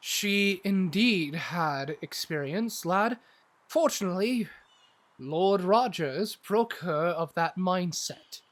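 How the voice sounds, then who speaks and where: Slow, measured fantasy doctor, played by a man. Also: high voice, fairly bright tone. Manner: deliberate, formal. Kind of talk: audio drama acting.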